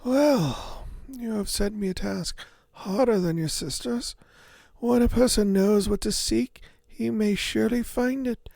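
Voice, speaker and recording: A man voicing a proud, doting merchant father in a fairy tale, with rough, grand and archaic speech. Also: high voice, a little slow, a little mellow, dry recording, pitched above the performer's own voice, exaggerated voice. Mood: pondering. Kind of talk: storybook character voice.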